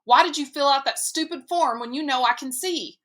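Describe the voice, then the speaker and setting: Woman reading defiant boy goalie, high and bright, indignant. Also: animated, normal speed. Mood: accusing. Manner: indignant, pleading, rising to shouts. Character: upset middle-school boy goalie, stubborn and proud.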